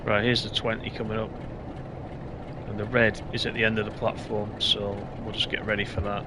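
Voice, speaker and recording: A man casually commenting on his train game while streaming, reading out and answering chat comments, his voice a bit hoarse. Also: lowish voice, flat delivery, fairly quick, background noise. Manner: chatty, reads out chat comments. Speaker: live streamer.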